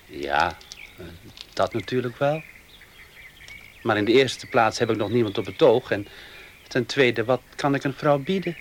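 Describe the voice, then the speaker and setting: A male actor plays a young servant in a radio drama, wary and deferential. His mid-pitched voice moves with lively intonation, though he speaks hesitantly and respectfully. Faint noise runs under the recording. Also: unhurried, pauses often, natural voice. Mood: modest, hesitant. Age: 20s. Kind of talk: radio play acting. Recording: faintly noisy old radio play recording.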